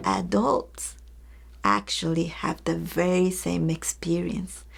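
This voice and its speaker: A woman's voice: medium pitch, creaky. A woman giving an earnest teaching talk to educators, speaking to her listeners directly and acting out everyday examples. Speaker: early childhood educator.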